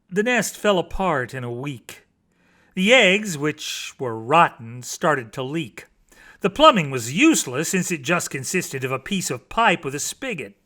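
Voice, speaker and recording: A man's voice: high voice, a bit bright. A man reading children's stories aloud as scripted narration, in a theatrical, emphatic way that savours the words. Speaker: storybook reader.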